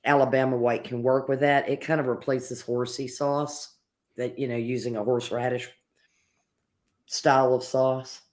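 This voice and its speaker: A woman's voice: a bass voice, little pitch movement, moderate pace, darkish tone. A woman chatting casually as a panelist, playful and exclamatory in the way she talks. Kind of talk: casual chat.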